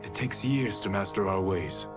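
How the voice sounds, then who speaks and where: Actor as a calm, low-voiced ninja brother. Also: male voice, moderate pace, over music, natural voice. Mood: calm, reasoning. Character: calm, patient male ninja brother, loyal to tradition. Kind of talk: dramatic video game cutscene acting.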